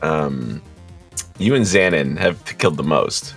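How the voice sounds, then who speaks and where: A man's voice: extremely low pitch, animated, unhurried, creaky, a bit bright, husky, soft background music. A man running a tabletop game, narrating casually to the players as game master.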